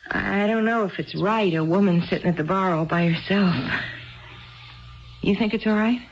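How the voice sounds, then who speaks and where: A woman's voice: medium pitch, moderate pace, dull audio, a little hiss or hum, natural voice. A woman playing a confused, insecure, chatty wife in a 1970s radio drama, hesitant and forever seeking reassurance. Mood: confiding, hesitant. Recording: band-limited 1970s radio broadcast, faint music.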